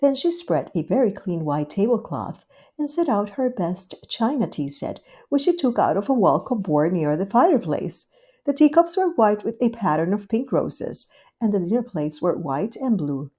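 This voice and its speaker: A woman reading a children's storybook aloud in a gentle, expressive way. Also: medium pitch, normal speed, slightly rough. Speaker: storybook reader. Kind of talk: scripted narration of a children's story.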